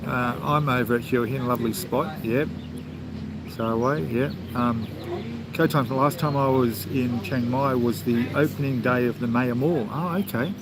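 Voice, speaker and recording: A man's voice: mid-range voice, normal speed. Chatty Australian man streaming outdoors. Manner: rambling, chatty, with frequent ums and restarts. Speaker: travel vlogger hosting a live stream. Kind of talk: casual live-stream chat reading viewer comments. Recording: clear outdoor background noise.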